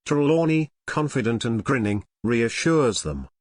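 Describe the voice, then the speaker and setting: A man's voice: medium pitch, animated, unhurried, no room sound. Dry-sounding male story narrator. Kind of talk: scripted audio drama narration.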